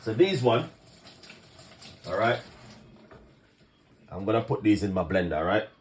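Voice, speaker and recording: Fast-talking Jamaican man, cooking host. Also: lowish voice, a little hiss or hum. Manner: lively, talks fast, greets viewers by place, laughs often. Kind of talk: casual live-stream cooking chat.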